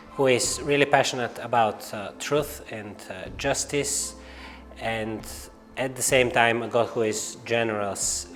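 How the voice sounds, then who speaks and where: Bulgarian-accented male lecturer in his forties, measured and hesitant, long reverb on the voice. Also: on the low side. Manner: thoughtful, hesitant, frequent pauses and fillers. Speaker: Old Testament lecturer. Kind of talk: reflective personal testimony. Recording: reverberant room with faint music behind.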